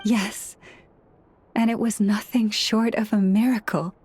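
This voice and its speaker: A woman voicing a gentle, earnest young moon priestess in a video game, speaking softly and with measure. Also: mid-range voice, steady tone, husky. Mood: quiet wonder. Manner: soft-spoken, earnest, measured.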